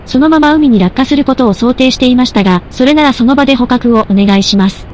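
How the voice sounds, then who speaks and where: A woman's voice: sits high, fast talker, a little mellow, a little hiss or hum, lightly acted. A woman playing a strict commander, formal and controlled, as if briefing an operation. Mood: composed, businesslike. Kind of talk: formal briefing in audio drama.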